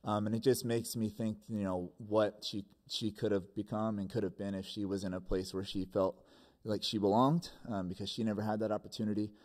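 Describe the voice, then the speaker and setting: Young guy, real low flat voice, sincere, keeps restarting words, desk mic at a board meeting. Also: fairly quick. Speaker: public commenter. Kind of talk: public comment at a meeting. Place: school board meeting room. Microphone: desk microphone.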